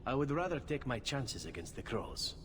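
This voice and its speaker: A man's voice: medium pitch, very animated, moderate pace, close to an everyday voice. A man playing a suave, flirtatious elf assassin in a video game, with a playful, theatrical delivery. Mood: teasing, flirtatious. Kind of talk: video game character acting. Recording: noisy ambience, long reverb.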